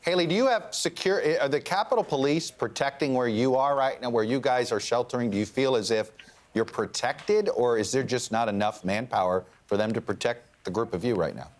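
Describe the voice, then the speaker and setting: A man's voice: mid-range voice, fairly quick. A male news anchor putting probing, layered questions to a reporter during a live interview.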